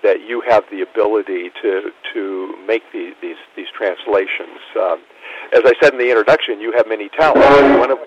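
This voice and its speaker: Low-voiced male radio interviewer. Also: breathy edge. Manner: hesitant, many uh fillers and restarts. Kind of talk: interview hosting. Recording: dull lo-fi radio recording.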